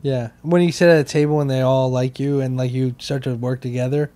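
A man's voice: mid-range voice, flat delivery, brisk, warm tone. An American man co-hosting a casual comedy podcast, joking in rambling, like-filled sentences on a handheld mic. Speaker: podcast co-host. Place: small wood-panelled room, indoors. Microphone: handheld microphone held close.

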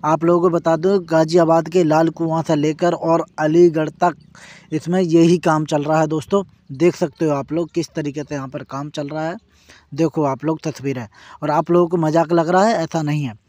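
A man's voice: on the high side, fairly even intonation, normal speed, darkish tone, dry recording. A man narrating a casual travel vlog from a moving vehicle, chatty and repeatedly addressing his viewers as friends. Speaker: vlogger.

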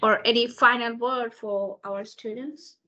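A young woman interviewing over a video call, asking questions hesitantly with many pauses. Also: on the high side, fairly even intonation, bright, forceful tone, highs cut off. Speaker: students' union officer interviewing. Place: room with large window.

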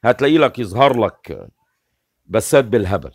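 A man's voice: lowish voice, fairly quick, dry recording, studio quality. A man in his forties with an Egyptian Arabic accent, hosting a livestream from a small room and explaining things insistently, waving his hands as he talks. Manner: animated, gestures constantly, explains insistently. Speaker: livestream host. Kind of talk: casual livestream talk. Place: small room with curtains, indoors.

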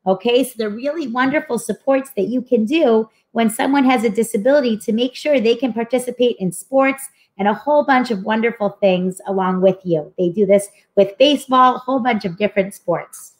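A young woman presenting a children's read-aloud in an expressive, explanatory way. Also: fairly high pitch, fairly quick, hardly any pauses. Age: young adult. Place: small room at home, indoors. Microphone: webcam video call mic.

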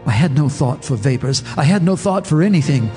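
An actor narrating a radio horror drama as a haunted neighbor, in a grave, measured storytelling manner. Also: male voice, a little high, animated, darkish tone, soft background music, natural voice. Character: haunted male neighbor telling a horror tale.

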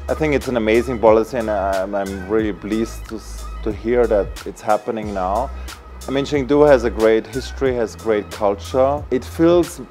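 A man's voice: on the low side, moderate pace, a little mellow. A man in his thirties from Austria, talking casually in an interview as a hotel manager. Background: music.

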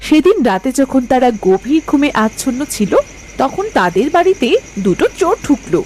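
A woman's voice: high voice, sing-song, moderate pace, a little mellow, faint music behind. A woman narrating a scripted cartoon story.

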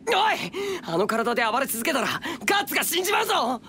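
A woman voicing a brash, excitable young boy sidekick in a game, with rough slangy exclamations. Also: high voice, quick pace, background noise. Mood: panicked, astonished. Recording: loud music behind the voice.

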